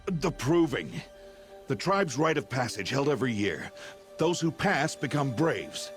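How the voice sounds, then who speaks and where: A man acting a grave, guarded middle-aged foster father and outcast hunter in a video game, measured and solemn. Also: medium pitch, sing-song, faint music behind.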